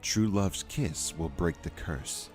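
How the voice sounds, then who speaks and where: Good fairy protector male actor: lowish, resolute, deliberate, heroic audio drama read over light music. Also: darkish tone. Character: defiant, steadfast good male fairy guardian. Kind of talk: fan audio drama acting.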